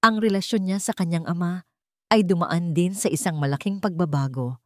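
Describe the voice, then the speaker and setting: Low-voiced woman narrating a dramatic story. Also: normal speed, a little mellow, no room sound, clean studio sound.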